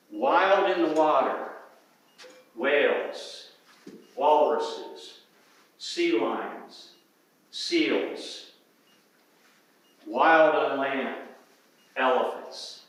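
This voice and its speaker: An older man, an emeritus professor, giving an academic talk at a symposium with an unhurried, emphatic manner and some hesitations. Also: medium pitch, fairly bright tone. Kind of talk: academic presentation. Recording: very echoey hall, voice from far off the mic.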